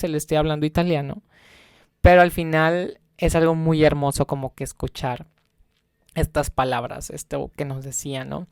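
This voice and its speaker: Rambling young man, smooth dry voice. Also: a little high, darkish tone, dry recording. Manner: rambling, many fillers and self-corrections. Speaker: travel vlogger. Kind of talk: casual vlog storytelling.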